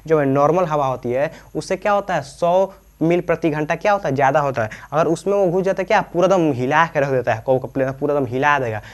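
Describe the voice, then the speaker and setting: A man's voice: a little high, a little fast, highs cut off. A man, teaching informally, repeating himself and asking questions that he answers himself. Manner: repetitive, asks and answers own questions, frequent check-in tags. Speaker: teacher explaining aviation terms. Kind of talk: informal explainer lesson.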